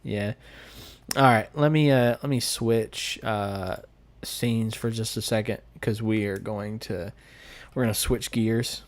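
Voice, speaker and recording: A man's voice: lowish voice, fairly even intonation, takes it slowly. A man with a Southern American accent chatting informally and jokingly on a live stream as he answers comments. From United States.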